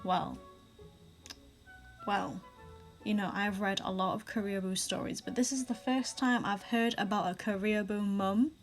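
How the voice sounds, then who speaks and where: A young woman reading viewer stories aloud in a casual vlog, with sassy asides and quick restarts. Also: medium pitch, fairly even intonation, faint music behind. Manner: chatty, sassy asides, frequent laughs and restarts. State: recently sick.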